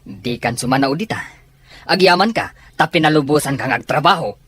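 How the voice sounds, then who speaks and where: A man's voice: a little high, animated, a little fast, a bit bright, husky, dry recording. A man with an Ilocano accent playing a jealous, stubborn jobless husband who argues and needles in a radio drama.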